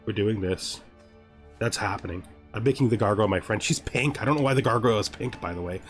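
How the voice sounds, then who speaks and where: A man's voice: lowish voice, fast talker, soft background music. A man commentating casually on a video game he plays, thinking aloud and reading text from the screen. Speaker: gameplay streamer.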